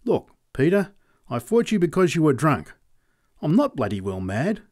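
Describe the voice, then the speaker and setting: A man voicing a wary dairy farmer who pleads in a high, nervous way. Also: wildly swooping pitch, normal speed, a little mellow. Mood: pleading.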